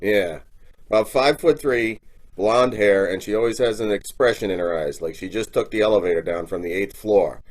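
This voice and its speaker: A man playing a lazy, self-important boyfriend in old-time radio comedy style, hesitant, slangy and slow-witted. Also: lowish voice, close to an everyday voice. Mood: hesitant, wheedling.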